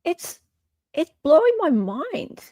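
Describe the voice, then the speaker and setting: A woman hosting a live stream, chatting and reacting in an expressive, emphatic way. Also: sits high, slow, dry recording, studio quality. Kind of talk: casual chat and reaction.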